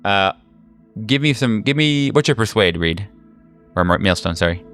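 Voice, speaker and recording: A man's voice: on the low side, lively intonation, moderate pace, a bit bright, smooth, faint music behind. A man running a tabletop game as game master, narrating casually in an informal, jokey, sweary way.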